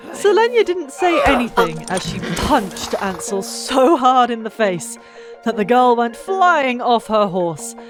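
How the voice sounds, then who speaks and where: A narrator reading a story in a dramatic, expressive way, with drawn-out pauses for emphasis. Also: medium pitch. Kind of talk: dramatic scripted audiobook narration. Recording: old mic, background music. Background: music.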